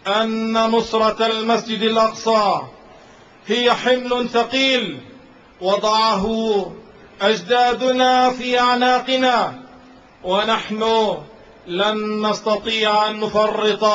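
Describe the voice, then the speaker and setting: A man speaks as a religious orator, solemn and declamatory. His voice is high and slow, with deliberate repetition for emphasis. The recording is dull and slightly noisy. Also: fairly bright tone. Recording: dull band-limited sound with faint noise.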